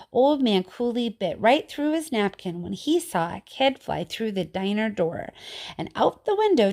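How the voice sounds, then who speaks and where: Middle-aged female teacher, informal and expressive, mid-pitched voice, children's poetry read-aloud with casual commentary. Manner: informal, chatty, expressive when reading. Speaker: teacher reading poems to children.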